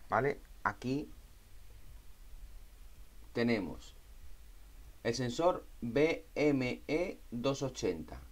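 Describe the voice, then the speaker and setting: A man's voice: mid-range voice, big pitch swings, drawn-out words, long pauses. A man with an accent from Spain giving an informal, step-by-step technical explanation as an instructor, repeating phrases and often checking in with short tags. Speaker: tutorial instructor.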